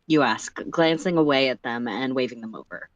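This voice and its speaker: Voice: medium pitch, normal speed, no room sound, highs cut off. A person reading fan fiction aloud on a livestream in a casual narrating style. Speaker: livestream story reader. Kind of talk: casual story read-aloud.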